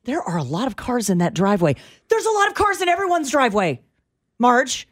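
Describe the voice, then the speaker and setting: Lively American woman bantering on radio. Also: on the high side, fairly quick. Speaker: radio show co-host. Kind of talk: casual comic radio banter.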